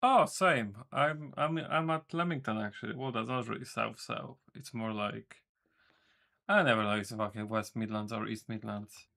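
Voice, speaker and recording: Gravelly-voiced man chatting casually. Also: on the low side, normal speed, bright, forceful tone. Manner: rambling, self-correcting, casual swearing. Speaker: game developer streaming. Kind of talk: casual chat with stream viewers. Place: home room.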